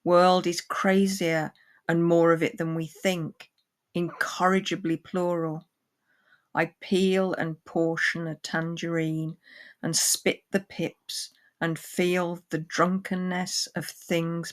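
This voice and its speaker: A woman's voice: fairly low pitch, level tone, slow. A woman talking about books and poetry informally, as a reader and guest. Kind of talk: poem reading and informal book chat.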